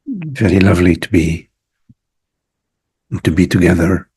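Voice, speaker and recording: A man leading a guided meditation as a teacher, speaking reflectively in short phrases with long pauses between them. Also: low voice, sing-song, a little mellow, slightly rough.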